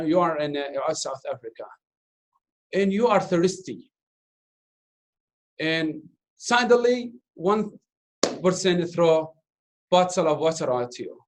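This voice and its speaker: Man, native Arabic speaker, from Iraq, somewhat high voice, halting English, casual Q&A. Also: rough recording. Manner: halting non-native English with many fillers and restarts. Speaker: interview guest, doctoral student. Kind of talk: casual Q&A talk.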